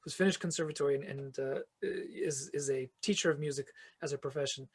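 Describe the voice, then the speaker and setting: A man's voice: mid-range voice, a little fast, breathy edge. A man being interviewed as a guest, answering in long, earnest explanations that build with restarts. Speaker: guest, fantasy author and choir conductor. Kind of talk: reflective podcast interview answers.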